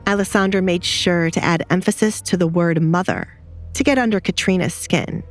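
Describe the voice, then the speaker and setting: A woman's voice: lowish voice, moderate pace, faint music behind. A woman reading a scripted dramatic story as an audiobook narrator.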